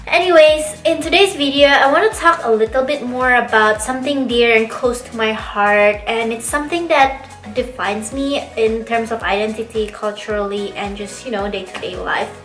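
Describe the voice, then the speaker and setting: A woman in her twenties from Indonesia chats reflectively to camera in her bedroom, now and then laughing softly mid-sentence. Her voice sits a little on the high side. The room is echoey, with faint music playing underneath. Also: normal speed. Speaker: vlogger. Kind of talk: casual chat to camera.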